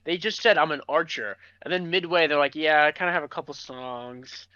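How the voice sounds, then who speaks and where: A man chatting casually as a podcast co-host, rambling and halting as he goes. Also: a little high, sharp tone, clear, resonant tone, no echo, dull audio. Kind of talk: casual chat.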